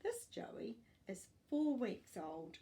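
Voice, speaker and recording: An older woman reading a children's non-fiction book aloud as a storytime host, with expressive, deliberate delivery for young children. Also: fairly high pitch. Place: small furnished living room, indoors.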